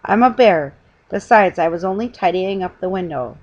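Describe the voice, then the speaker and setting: A woman's voice: on the low side, expressive, normal speed, darkish tone, highs cut off. A woman voicing a polite, earnest young male bear from a storybook, slightly hesitant. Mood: protesting.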